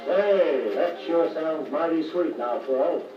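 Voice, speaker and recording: High-voiced eager trainee. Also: male voice, slow pace. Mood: pleased. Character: eager, curious younger trainee mechanic, male. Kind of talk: 1950s training filmstrip dramatized dialogue. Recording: muffled, echoey, distant 1950 record with music.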